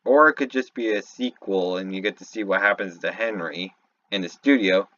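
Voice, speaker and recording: A man giving casual, off-the-cuff game commentary, halting and thinking aloud as he goes. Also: on the low side, sharp tone, muffled recording. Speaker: YouTube commentator.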